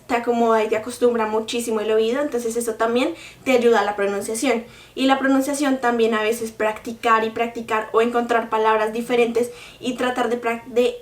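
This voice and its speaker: A young woman talks to her viewers in an earnest, explaining way, her voice sitting a bit high. She sounds set back from the mic in a room with a noticeable echo. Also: recorded from a distance. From Colombia. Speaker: vlogger. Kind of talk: casual talk to camera.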